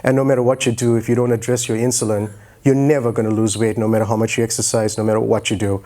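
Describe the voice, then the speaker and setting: A man's voice: lowish voice, level tone, quick pace, mellow. A man in his forties telling his own story as a guest speaker, in an informal, joking, conversational way into a handheld microphone on stage. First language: English. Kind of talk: informal motivational talk on stage. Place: stage in an auditorium, indoors.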